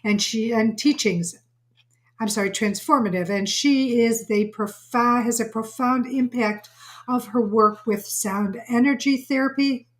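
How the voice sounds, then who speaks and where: A woman hosts an interview, sounding eager as she stumbles over her words. Her voice sits somewhat high for a woman. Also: measured pace. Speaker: host.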